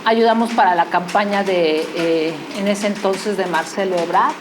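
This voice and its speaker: A woman's voice: mid-range voice, moderate pace, background noise. A woman from Mexico City with a local Mexican accent, a guest in a casual interview, telling her story in a chatty way. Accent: Mexican Spanish, Mexico City. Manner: chatty, storytelling, laughs easily.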